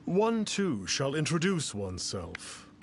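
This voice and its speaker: A man's voice: a little high, huge pitch swings, slow pace, heavily acted. A man voicing a pompous, self-styled immortal in a video game, speaking in a lofty, theatrical, formal and archaic way. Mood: lofty, grandiose. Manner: pompous, grandiose, formal, calls himself "one". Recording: noisy, with background ambience.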